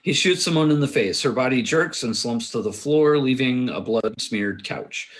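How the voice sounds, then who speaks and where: Man reading screenplay directions, medium pitch. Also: animated, normal speed. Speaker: narrator reading stage directions. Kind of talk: scripted narration at a table read. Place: remote video call.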